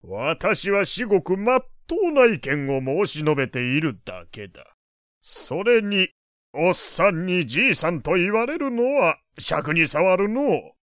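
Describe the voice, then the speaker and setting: An actor playing an opinionated, kindly old gentleman in an audio drama, lecturing in old-fashioned elderly phrasing. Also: high voice, big pitch swings, a little slow, no echo, clean studio sound, full character voice. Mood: indignant. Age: older. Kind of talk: Japanese audio drama acting.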